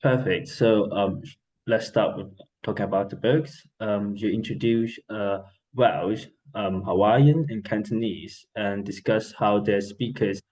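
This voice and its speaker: A man interviewing an author for a reading group, asking his questions haltingly, with many fillers and repeated words. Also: lowish voice, a little mellow, poor audio. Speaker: interviewer, book club host.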